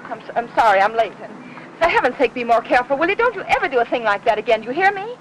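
An actress in her thirties with an American accent playing an anxious, pleading housewife in a fifties film melodrama, halting under strain. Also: fairly high pitch, very animated, fairly bright tone, rough recording. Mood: flustered, scolding. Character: anxious, pleading suburban housewife guarding a secret. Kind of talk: 1950s melodrama film acting. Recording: hissy old 1950s film soundtrack.

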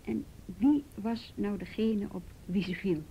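A woman's voice: animated, creaky, warm tone, poor audio. A woman playing a patient, persistent youth police officer in a radio drama, coaxing. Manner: coaxing, probing, deliberate. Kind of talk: Dutch radio drama acting. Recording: old radio recording, faint rumbly noise.

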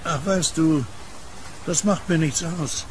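A man's voice: a little high, slow pace. An actor playing a genial, solitary late-night kiosk keeper who is fond of his dog, in a horror radio drama. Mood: contemplative, content. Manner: chatty, teasing, soothing to his dog. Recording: noisy storm ambience behind voice.

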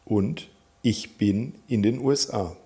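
A man teaching a German lesson, calmly naming countries and repeating example sentences for learners to follow. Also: lowish voice, slow, gravelly, husky. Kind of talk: slow, clear teaching with drill repetitions.

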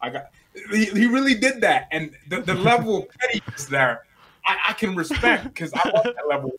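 A man's voice: high-pitched, very animated, normal speed, noisy background. A young man in his twenties, a guest rival fan, bantering playfully and teasing on a video call from a small room at home. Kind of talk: casual banter.

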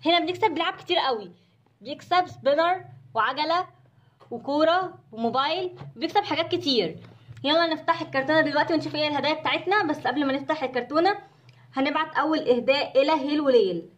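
A woman's voice: sits high, quick pace, muffled recording. A woman with an Egyptian accent casually narrating an unboxing for children. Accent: Egyptian Arabic. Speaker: host of a children's toy channel.

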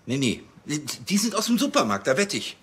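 A man's voice: on the high side, very animated, fairly quick, a bit bright, slight background noise. An actor with a Bavarian accent playing a flustered, officious policeman who stammers indignantly in a radio play. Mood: stubborn. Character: flustered, officious, stubborn male police colleague.

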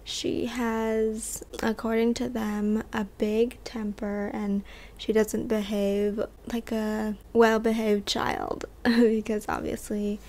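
A woman's voice: fairly high pitch, flat delivery, slow, darkish tone. A young woman with a slight lisp chatting casually in a reading vlog. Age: young adult. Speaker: book vlogger. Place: bedroom, indoors. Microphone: desktop or handheld condenser mic, close.

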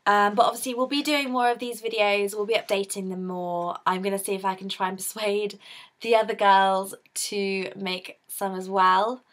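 A woman's voice: mid-range voice, fairly even intonation, normal speed, a bit bright. A young woman with a British accent, talking casually to her webcam from her bedroom, bubbly and chatty with frequent laughs. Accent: British English. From United Kingdom. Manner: bubbly and chatty, laughs often, gestures with hands. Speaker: vlogger raising money for a charity. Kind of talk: casual direct-to-camera appeal. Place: bedroom, indoors. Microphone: webcam in front of her.

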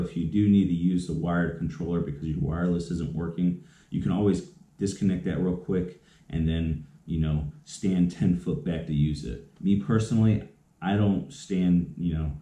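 A man's voice: low-pitched, mellow. A young man explaining a repair in a casual, rambling way, with many fillers and restarts, filmed in a garage on a camera mic a few feet away. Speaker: small business owner demonstrating a repair. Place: garage, indoors. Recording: echoey garage with faint noise.

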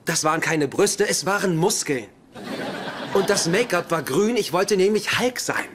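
A man's voice: sits high, expressive, normal speed. An actor playing an embarrassed, exasperated young man in a dubbed sitcom, defensive and pleading. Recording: noisy sitcom audio with background ambience.

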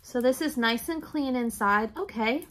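A chatty, enthusiastic woman, talking straight to her desk camera in a home craft room. Her voice sits a touch high, and it sounds a little raspy and congested from allergies, with the odd throat clearing. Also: normal speed, a bit bright. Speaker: reseller vlogger. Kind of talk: casual shop-with-me haul chat.

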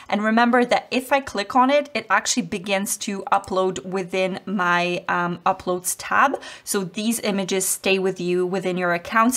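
A woman in her thirties, a native Polish speaker, hosting an upbeat step-by-step design software tutorial on a headset mic in a home office. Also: mid-range voice. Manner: upbeat, friendly, walks through steps. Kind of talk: screen-recorded software tutorial.

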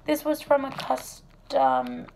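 Hoarse woman, gravelly and slow. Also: high-pitched, background noise. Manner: chatty, relaxed, many fillers. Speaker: wax melt reviewer. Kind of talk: casual product review vlog. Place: home kitchen, indoors.

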